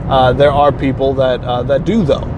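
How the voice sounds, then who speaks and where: A man from Turkey hosting his own channel, talking through an idea in a slow, reflective monologue with frequent pauses and restarts. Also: medium pitch, little pitch movement. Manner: halting, thoughtful, frequent restarts. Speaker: channel host. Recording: clear traffic noise outdoors.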